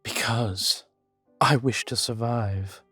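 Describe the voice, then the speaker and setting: A man playing a guarded, world-weary vampire informant in an audio roleplay, speaking in formal, measured phrases, proud yet fond. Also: medium pitch, fairly even intonation, pushed and bright, husky, no room sound, exaggerated voice. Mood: guarded, defiant. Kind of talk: scripted audio roleplay to the listener. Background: background music.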